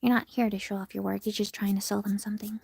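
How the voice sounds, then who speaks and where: A woman chatting candidly with her viewers while she draws on a live stream, often half-whispering in a soft, very feminine voice, picked up by her phone. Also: mid-range voice, level tone, fast talker, creaky, husky. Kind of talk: casual livestream chat answering viewers.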